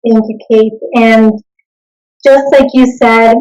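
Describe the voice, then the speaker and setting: A young woman from Bolivia, a native Spanish speaker, answering interview questions in an earnest, measured way. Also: a little high, fairly even intonation, a little mellow, rough recording. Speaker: interview guest, consultant.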